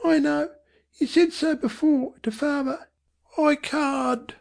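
A woman voicing a defiant, streetwise slum boy with speech and rough slang in an audiobook. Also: sits high, takes it slowly, darkish tone, voice pushed up, full character voice. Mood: accusing. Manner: rough dropped-grammar slang. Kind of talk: audiobook character voice.

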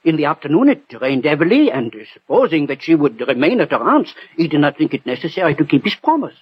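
Nervous French shopkeeper, evasive, deferential, highish husky voice, swooping delivery, vintage radio. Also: male voice, big pitch swings, fast. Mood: anxious, careful. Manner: hesitant, formal. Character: anxious, deferential French perfumer, emotional and evasive. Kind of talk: 1960 radio drama acting. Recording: dull old radio broadcast.

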